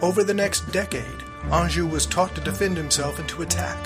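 A man's voice: fairly high pitch, fairly quick, music in the background. A middle-aged man hosting a podcast, delivering an upbeat intro and reading character backstories in a theatrical way. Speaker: podcast host.

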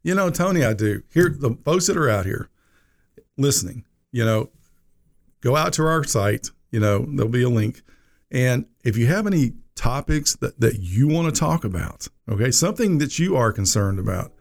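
A man's voice: mid-range voice, creaky. A middle-aged man hosting a financial radio show, talking in a folksy, rambling way with lots of "you know". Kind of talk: casual radio talk.

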